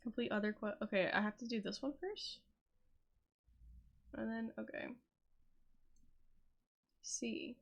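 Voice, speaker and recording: A woman's voice: on the high side, measured pace, creaky, pauses often. A young woman streaming a game, chatting casually and rambling with fillers as she talks to her viewers. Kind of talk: casual gaming stream chat.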